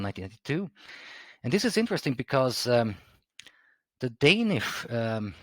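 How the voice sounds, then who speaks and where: Hesitant low-voiced man explaining history. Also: dry recording. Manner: hesitant, many ums and restarts, searching for words. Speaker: history channel host. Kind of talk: casual explanatory talk to camera. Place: Stockholm.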